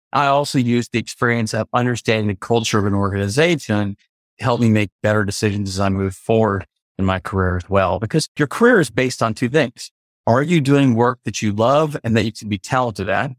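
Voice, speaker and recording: A man's voice: mid-range voice, no echo, clean studio sound. A man from the US East Coast, a podcast guest, talking earnestly and reflectively in a casual interview, stopping to add clarifications. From East Coast of the United States. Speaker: podcast guest, culture consultant.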